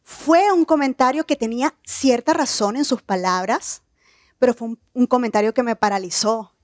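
A woman in her thirties from Nicaragua giving an earnest, measured motivational talk on an outdoor evening stage. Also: a little high, no room sound, clean studio sound. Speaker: keynote speaker.

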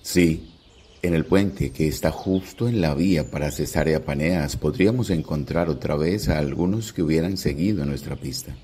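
Man as very deep, wary disciple. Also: moderate pace, warm tone. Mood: wary, stern. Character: frowning, wary male disciple, cautious and distrustful. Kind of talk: radio drama acting. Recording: faint noise, birdsong behind.

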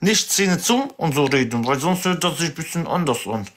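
Man in his twenties, live streamer, teasing and chatty, very lively pitch, slightly husky. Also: normal speed. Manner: teasing, chatty, talks to viewers. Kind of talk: casual live stream chat.

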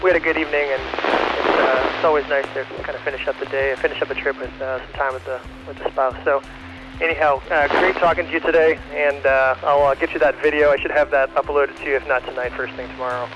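A man talking steadily over ham radio with measured pauses, sounding dull through the radio link. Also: medium pitch, little pitch movement, over loud music. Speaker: airline pilot on ham radio. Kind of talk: casual radio chat.

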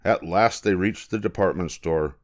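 Low-voiced older man reading a storybook. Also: normal speed. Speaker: storybook narrator.

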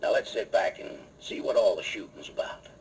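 Lively folksy cowboy radio pitchman. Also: brisk, gravelly, rough recording. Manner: folksy, chummy cowboy talk. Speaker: sponsor announcer in cowboy persona. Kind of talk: 1950s radio ad pitch to children. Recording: old radio recording, faint noise.

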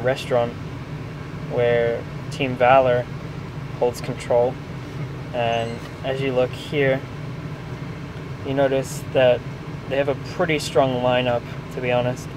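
A young man chats casually about the game he is playing, pausing often with ums and restarting his sentences. His voice sits in a flat, middle register, and steady traffic noise hums behind him outdoors. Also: mid-range voice. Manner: relaxed, many ums and restarts. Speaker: gaming vlogger. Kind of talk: casual gameplay narration. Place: outdoors near a restaurant and park.

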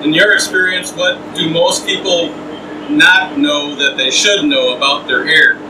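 A man's voice: a little high, steady tone, a little slow. A middle-aged man hosting a casual interview while getting a haircut, recorded by a far camera mic in a small trailer with fan noise. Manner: chatty, asks questions while getting a haircut. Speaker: host. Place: small trailer interior, windy outdoor event. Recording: roomy far-off sound, fan and wind noise.

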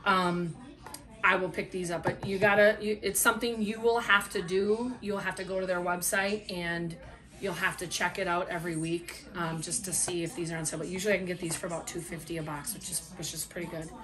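A woman's voice: on the low side, steady tone, fairly quick, a bit bright. Middle-aged woman giving a casual product review. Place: small room at home, indoors. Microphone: camera a short way off across a table.

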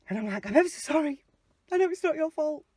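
A young woman rants and tells stories inside a small car. She is blunt and sweary, and her pitch jumps all over the place. The phone mic picks her up close and dry, with almost no echo. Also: lively intonation, quick pace, warm tone. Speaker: vlogger. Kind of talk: casual storytelling. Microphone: phone camera mounted on the dashboard.

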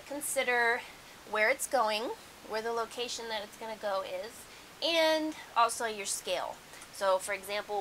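A woman's voice: mid-range voice, a bit bright, slight background noise, rough recording. A middle-aged woman giving a casual, conversational how-to demonstration at home, explaining things as she goes. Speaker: DIY host. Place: carpeted room at home.

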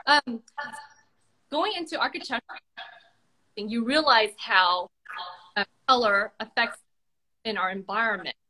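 A woman hosting a casual livestream interview over a video call, asking questions with many pauses and fillers. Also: a little high, sharp tone, poor audio. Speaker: host. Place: home room, indoors.

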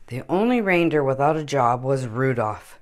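A middle-aged woman narrating a children's story in a deliberate, expressive way. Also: very deep voice. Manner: deliberate, animated. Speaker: children's story time reader.

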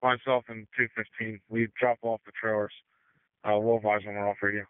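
A man's voice: lowish voice, flat delivery, a little fast, clean tone, no echo, narrow, tinny audio. A man working as an emergency responder, speaking tersely over a two-way radio. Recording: band-limited emergency radio transmission.

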